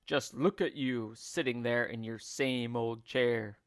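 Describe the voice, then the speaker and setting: A man voicing a gleefully cruel, scheming husband in a storybook, taunting with emphatic, drawn-out words. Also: medium pitch, clear, resonant tone, no echo, clean studio sound. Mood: mocking, loud.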